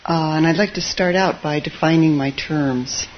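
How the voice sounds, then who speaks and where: Soft-spoken Zen teacher, lo-fi hiss. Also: normal speed. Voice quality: soft voice that tends to drop low. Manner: hesitant, with frequent fillers and wry asides. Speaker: Zen teacher giving a dharma talk. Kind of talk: gentle, informal dharma talk. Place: meditation hall with a live audience. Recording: dull lo-fi audio with faint hiss.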